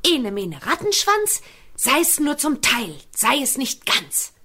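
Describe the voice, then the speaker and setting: Young witch girl in a children's radio play, high-pitched, drawing out rhymed incantations slowly. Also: big pitch swings, pushed and bright, heavily acted. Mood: incanting. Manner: slow, chanted incantation. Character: young witch girl casting a rhyming spell. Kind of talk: children's audio drama acting.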